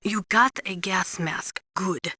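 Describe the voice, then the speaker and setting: Bright-voiced, precise military weapons expert. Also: female voice, mid-range voice, no echo, clean studio sound. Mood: precise, cautioning. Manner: measured, instructive, technical. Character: serious, precise female military weapons expert advising an agent. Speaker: mission support adviser. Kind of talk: scripted video game radio briefing.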